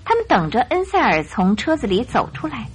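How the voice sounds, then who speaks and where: A woman's voice: a little high, big pitch swings, fairly quick. A woman giving scripted narration for a radio drama as its storyteller.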